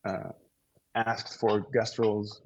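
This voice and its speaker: A man with a fairly low voice and a near-American accent, a native Dutch speaker. He talks loosely and slangily, working out his thoughts as he goes in a casual interview. The audio is a little muffled and lo-fi. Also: flat delivery, slow pace. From Netherlands. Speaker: actor, interview guest.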